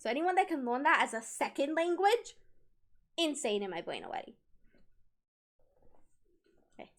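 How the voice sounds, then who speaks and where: A woman's voice: sits high, big pitch swings, moderate pace. A young woman streaming live, chatting casually with viewers, laughing often and restarting her sentences. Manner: giggly and emotional, laughing through words, restarts often.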